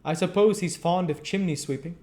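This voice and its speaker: A man's voice: high voice, a little fast, a little mellow, pitched above the performer's own voice, lightly acted. A man voicing a genteel, drowsy old magistrate in a storybook reading, asking vague, rambling questions. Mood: mild questioning. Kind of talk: audiobook character voice.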